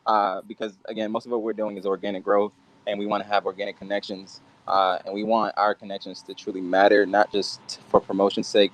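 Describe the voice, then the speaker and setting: Laid-back man pitching his project. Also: low-quality recording. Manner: relaxed, loose, with many fillers. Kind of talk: casual chat on a live audio space.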